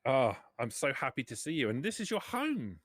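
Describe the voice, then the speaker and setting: A man's voice: mid-range voice, sing-song, normal speed, fairly bright tone, no echo, studio quality, pitched above the performer's own voice. A man voicing a friendly, effusive male caravan trader in a tabletop roleplaying game. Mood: delighted.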